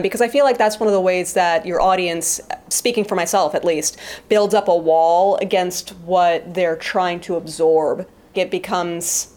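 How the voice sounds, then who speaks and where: A woman's voice: fairly low pitch, darkish tone. A woman talking reflectively in an interview, explaining her creative views in a thoughtful way. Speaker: interview guest, sound effects artist and writer. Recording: music playing behind the voice. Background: music.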